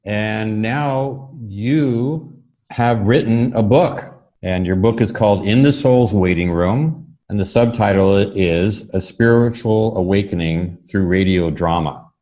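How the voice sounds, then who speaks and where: A man's voice: lowish voice, slow pace, warm tone, muffled recording. A man hosting a podcast, introducing the show and talking conversationally with a guest. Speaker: podcast host.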